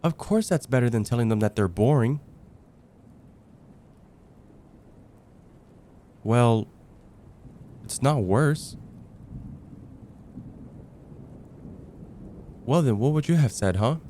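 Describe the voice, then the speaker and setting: A young man playing a casual heartbreaker friend confiding about dating in a conversational, hesitant ASMR roleplay, with sighs and trailing pauses. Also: medium pitch, animated, warm tone, slight background noise, lightly acted. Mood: mildly defensive. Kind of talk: ASMR roleplay, acted one-sided conversation.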